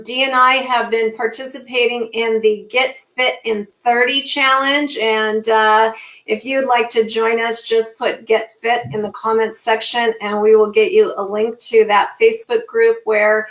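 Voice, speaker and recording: A woman co-hosting a live low-carb cooking show who is losing her voice, talking in a halting way with many fillers and throat-clearing. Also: little pitch movement, a bit bright, echoey room, low-quality recording. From Southern California. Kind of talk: live-streamed casual chat.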